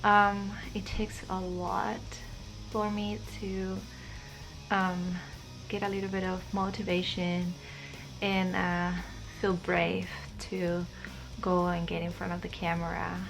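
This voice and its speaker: A woman's voice: fairly low pitch, monotone, slow pace, vocal fry, over music, rough recording. A young woman from Spain with a Spanish accent, chatting shyly and hesitantly to camera, with many fillers. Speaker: vlogger. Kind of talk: casual chat to camera.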